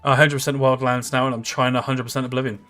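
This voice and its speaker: A man's voice: medium pitch, level tone, fast, bright, forceful tone, faint music behind. A man in his thirties chatting casually with viewers while he livestreams a game, rambling with lots of fillers and answering comments. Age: 30s.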